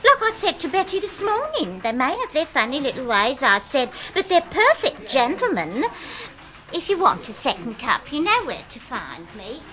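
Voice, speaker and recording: High, swooping, chatty tea lady. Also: wildly swooping pitch, moderate pace, background noise. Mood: sympathetic. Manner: chatty, sympathetic. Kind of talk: radio comedy drama acting.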